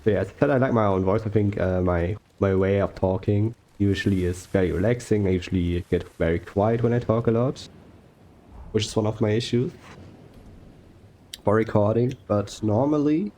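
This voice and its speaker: Young male gaming commentator, mellow tone, slow soft-spoken rambling delivery. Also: lowish voice. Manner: rambling with fillers and self-corrections. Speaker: gaming YouTuber. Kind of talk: relaxed gameplay commentary.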